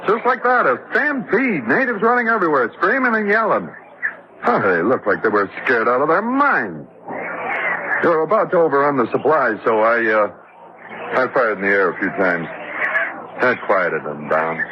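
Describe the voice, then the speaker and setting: Animated actor as a jumpy camp guard. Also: male voice, medium pitch, moderate pace, lightly acted. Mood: rattled. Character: jumpy, suspicious armed man guarding a relief camp. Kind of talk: old-time radio drama acting. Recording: muffled, noisy old radio recording.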